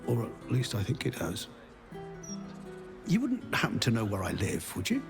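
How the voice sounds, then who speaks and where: A man's voice: mid-range voice, wildly swooping pitch, normal speed, creaky, over music. An actor playing an amiable, befuddled man with lost memories in a video game, rambling and trailing off wistfully. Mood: vague, polite. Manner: rambling, absent-minded, trailing off.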